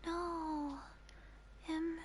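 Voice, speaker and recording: A woman voicing a small, sluggish, earnest zombie girl in a video game, speaking in short halting fragments with a soft childlike voice. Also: very high-pitched, level tone, a little hiss or hum, full character voice, poor audio. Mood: insistent.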